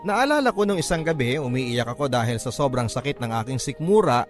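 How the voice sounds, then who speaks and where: A man with a Filipino accent narrating a first-person drama story in an emotive, measured, classic radio style. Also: mid-range voice, very animated. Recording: music under the voice. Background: background music.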